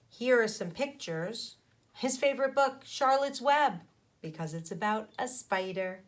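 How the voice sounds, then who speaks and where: A woman schoolteacher reading a children's book aloud, stepping in to explain and ask questions in a didactic way. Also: mid-range voice. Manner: didactic, pauses to question the young listeners. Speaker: elementary school teacher.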